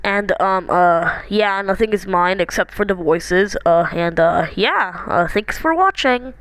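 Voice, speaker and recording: A woman giving a casual, halting sign-off as an amateur voice-over creator. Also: medium pitch, a bit bright, slight background noise. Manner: halting, informal.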